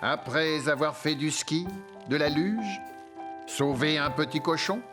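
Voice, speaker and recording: A male narrator tells a children's cartoon story in a fairly high voice. His delivery is scripted storytelling aimed at young viewers. Music plays loudly behind him. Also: measured pace.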